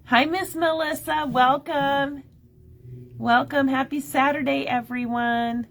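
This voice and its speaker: A middle-aged shop owner with a scratchy, slightly nasal voice, chatting casually on a craft livestream. She greets viewers by name and fills pauses with "um". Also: high voice, steady tone, fairly bright tone. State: scratchy, a bit nasal from a cold. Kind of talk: casual live-stream chat.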